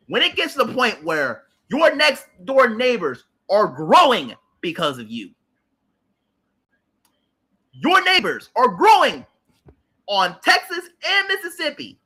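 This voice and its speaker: A man's voice: high-pitched, pushed and bright. A man, hosting a livestream and ranting about politics in a heated, emphatic, repetitive way with blunt swearing. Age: late 30s. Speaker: livestream host.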